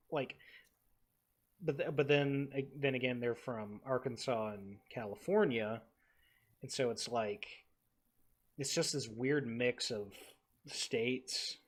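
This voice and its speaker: A young man from the Texas Panhandle, co-hosting a podcast in casual chat, halting and repeating his words with many fillers. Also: medium pitch. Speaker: podcast co-host.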